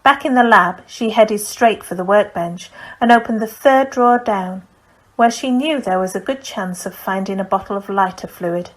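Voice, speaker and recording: A woman's voice: mid-range voice, normal speed, rough recording. A woman reading a novel aloud as an audiobook narrator in a scripted storytelling style.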